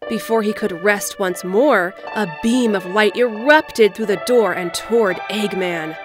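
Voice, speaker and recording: A woman reading scripted story narration in a measured, storybook delivery, as the narrator of an audio drama. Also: medium pitch. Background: music.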